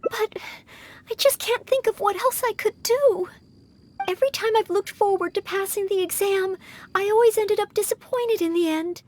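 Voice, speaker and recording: A woman's voice: extremely high pitch, moderate pace. A woman voicing an earnest, polite, self-doubting young maid who hopes to be a knight in an anime-style video game, speaking with careful diction. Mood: dejected.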